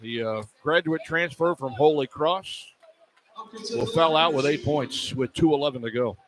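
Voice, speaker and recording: A man calling live basketball play-by-play for radio in clipped running descriptions that can rise into excited calls. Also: fairly high pitch, moderate pace, rough recording. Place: indoor arena at a live game. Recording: live radio broadcast with arena noise. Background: arena noise.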